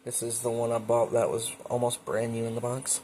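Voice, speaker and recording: A man hosting a casual home show-and-tell video, speaking informally and a little haltingly with enthusiastic asides. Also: medium pitch, completely flat delivery, dark tone, slight background noise. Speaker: hobbyist video creator and host. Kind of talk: casual show-and-tell narration of a radio collection. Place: indoors at home.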